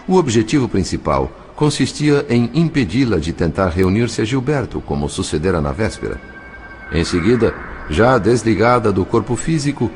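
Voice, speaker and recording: A man's voice: on the low side, a little mellow. A man with a Brazilian accent narrating an audio novel in a solemn, literary, carefully phrased style. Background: faint music.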